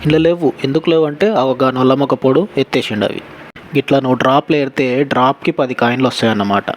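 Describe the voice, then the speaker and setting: Young man, Telangana Telugu accent, rapid chummy gaming tips, faint background noise. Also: mid-range voice. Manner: chummy, addresses viewer as buddy. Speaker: gaming YouTuber. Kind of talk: casual gaming tips commentary.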